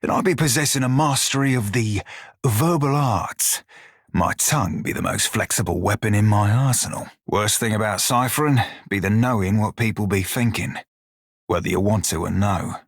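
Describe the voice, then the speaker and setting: An actor playing a cocky, bawdy furry in a video game, with a sly, wry, drawling manner. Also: male voice, fairly low pitch, moderate pace, slightly rough, no room sound, clean studio sound. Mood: teasing, cocky. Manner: salty, drawling pirate dialect, sly and wry. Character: bawdy, roguish furry orlan pirate shiphunter, loyal and cocky. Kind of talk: video game character dialogue.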